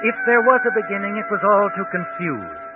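Ominous high-voiced radio mystery narrator. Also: male voice, very animated. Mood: tense, dramatic. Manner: ominous, dramatic, deliberate delivery. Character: the Whistler, mysterious knowing male night-walker narrator of dark tales. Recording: old narrowband radio recording with music.

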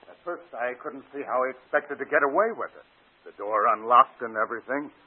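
An actor playing a shrewd, steady man exposing a killer, pointed and knowing, in 1940s radio drama style. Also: mid-range voice, a little fast, breathy edge, lightly acted. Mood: explaining. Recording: narrow old radio broadcast recording.

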